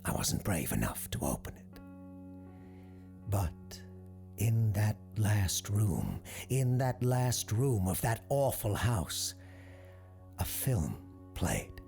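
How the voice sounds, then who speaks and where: A man voicing a regretful woman giving halting, confessional testimony about her past in an audio drama. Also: on the low side, big pitch swings, gravelly, over music, full character voice. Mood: haunted regret. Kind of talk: quoted testimony within audio drama narration.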